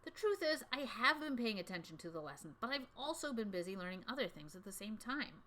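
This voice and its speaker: A woman co-hosting a children's book readalong, with expressive storybook narration and light banter. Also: on the high side, fairly quick. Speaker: co-host reading a children's book aloud.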